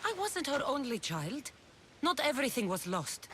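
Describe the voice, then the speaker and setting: A fierce, bitter female warrior in a video game drama. Her delivery is scornful and intense, with a sharp tone and lively swings in pitch. There is faint noise behind her. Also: normal speed. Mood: suspicious.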